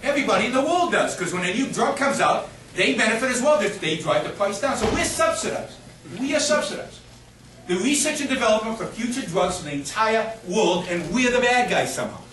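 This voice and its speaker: High-voiced man lecturing emphatically. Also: lively intonation, brisk, a bit bright. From Israel. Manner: emphatic and argumentative, with frequent restarts. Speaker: guest speaker answering audience questions. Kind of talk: impassioned lecture Q&A. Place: university lecture hall. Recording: echoey noisy hall from far off.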